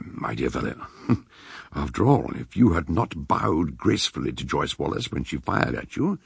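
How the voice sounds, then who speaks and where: An actor playing a genial, learned detective doctor reading a letter aloud in a radio drama, with courtly, orotund phrasing and a gravelly voice. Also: male voice, low-pitched, no echo, studio quality, exaggerated voice.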